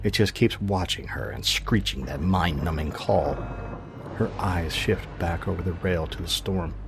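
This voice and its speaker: A man narrating a scripted fiction podcast in a measured, grave, dramatic storytelling manner. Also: deep voice, creaky, background noise.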